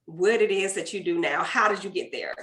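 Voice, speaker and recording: A woman's voice: fairly low pitch, brisk, fairly bright tone, reverberant, slight background noise. A woman hosting a conference interview, chatting in an upbeat, casual way and laughing easily. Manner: chatty and upbeat, many "you know" and "right" fillers, laughs easily. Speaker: conference host.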